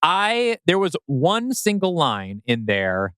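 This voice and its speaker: Clear-voiced man in casual conversation. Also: a little high, huge pitch swings, slow pace, a bit bright, no echo, studio quality. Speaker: podcast co-host. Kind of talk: casual chat about scriptwriting.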